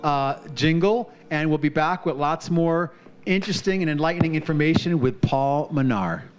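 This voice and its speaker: A man's voice: fairly high pitch, animated, normal speed, over music. A man hosting a community talk show, upbeat and chatty as he introduces his guest and the next song. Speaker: host.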